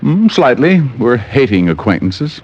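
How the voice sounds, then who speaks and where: A man's voice: medium pitch, very animated, unhurried, darkish tone. A man playing a cocky, wisecracking private detective in flippant old-time radio style, on a dull old recording. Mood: cocky, dry. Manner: flippant, teasing banter. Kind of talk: 1940s-50s radio detective acting.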